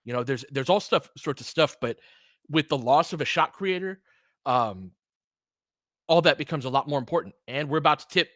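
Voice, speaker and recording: A man's voice: on the high side, animated, normal speed, sharp tone, no room sound, clean studio sound. A man giving casual, opinionated basketball play-by-play as a fan host, chatting conversationally with listeners between calls. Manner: conversational, opinionated, answers chat commenters by name.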